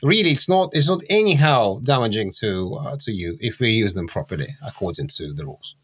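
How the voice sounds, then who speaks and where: A man co-presenting a live webinar over a video call, speaking in hesitant, halting bursts. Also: fairly low pitch, very animated, fairly bright tone, husky.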